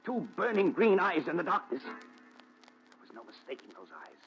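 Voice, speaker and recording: An actor playing a haunted, desperate man confessing his story, with intense, dramatic pauses in 1940s radio melodrama style. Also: high voice, very animated, a bit bright, faint music behind, heavily acted. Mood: haunted, fearful. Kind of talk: 1940s radio thriller acting. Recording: dull noisy 1940s radio recording.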